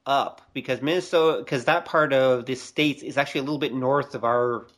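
A Canadian man co-hosts a relaxed interview podcast in a medium-pitched voice. He is a little pedantic, adding small clarifications and correcting himself as he talks. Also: fairly quick. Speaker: podcast co-host. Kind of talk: casual interview chat.

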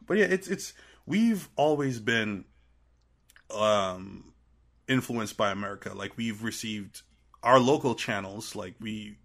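A man's voice: fairly low pitch, animated, slow, a bit bright. A man in his thirties from Trinidad and Tobago, chatting casually as a podcast guest, often restarting his phrases mid-thought. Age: 30s. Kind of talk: casual conversational interview.

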